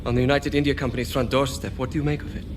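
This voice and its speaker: An actor playing an eager, deferential inventor in a Victorian game drama, polite and a little hesitant. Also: male voice, mid-range voice, steady tone, very fast, lightly acted. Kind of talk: dramatic video game acting. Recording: clear background noise.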